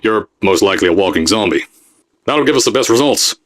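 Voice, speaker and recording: Brash boastful company boss, barking pre-recorded announcements for a game. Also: male voice, lowish voice, lively intonation, fairly quick. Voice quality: loud, boastful salesman bluster. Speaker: voice actor in a game mod.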